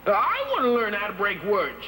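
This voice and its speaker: A man's voice: high voice, wildly swooping pitch, moderate pace, bright, forceful tone, heavily acted. A man playing a brash, scatterbrained new student in broad children's TV comedy, folksy, blustery and bumbling. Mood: cocky. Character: Iggy, brash scatterbrained cowboy-ish new student, male. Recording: reverberant hall, faint noise.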